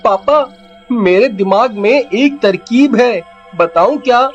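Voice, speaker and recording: A man's voice: very high voice, slow pace, lo-fi sound, faint music behind. A man voicing a cheeky, clever young village boy in a cartoon story, talking in an eager, pleading, childlike way.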